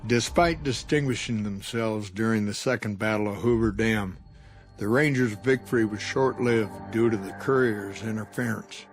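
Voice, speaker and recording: A man's voice: fairly low pitch, lightly acted. A man playing a sober chronicler, narrating a scripted video game epilogue.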